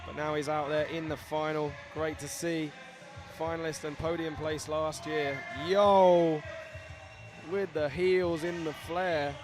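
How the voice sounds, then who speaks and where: A young man hosting live commentary at a scooter event, excitable and laughing, reading out viewer shout-outs. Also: on the high side, slow, over music.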